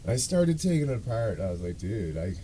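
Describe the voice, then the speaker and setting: A man's voice: fairly low pitch, sing-song, fairly quick, mellow, a little hiss or hum. A man hosting a podcast, telling a story in a casual, rambling, self-deprecating way with loose swearing. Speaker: podcast and show host.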